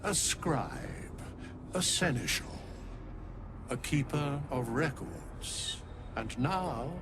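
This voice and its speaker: An actor voicing an ancient, solemn undead scribe in a fantasy game, speaking in formal, archaic phrasing with stately gravity. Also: male voice, mid-range voice, animated, noisy background. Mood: solemn, measured. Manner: stately, measured, archaic diction.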